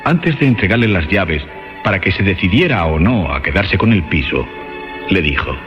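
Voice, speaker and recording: Male storyteller for radio suspense: very low, expressive pitch, measured dramatic reading, dulled sound. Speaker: narrator. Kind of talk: dramatic scripted narration for suspense radio theatre. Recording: dull band-limited radio sound with music. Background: clear music.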